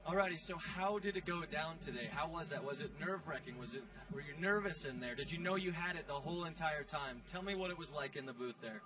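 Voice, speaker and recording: Jokey, high-voiced male show host. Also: fast, hardly any pauses, recorded from a distance, poor audio. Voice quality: slangy, informal delivery. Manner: excitable, jokey, teasing. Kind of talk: casual chatty sports post-game interviewing. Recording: off-mic, music playing behind. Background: music.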